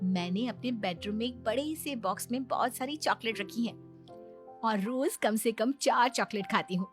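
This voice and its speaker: A woman's voice: fairly high pitch, lightly acted. A woman voicing a sweet, playfully boastful young daughter-in-law in a cartoon story. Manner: sweet, teasing.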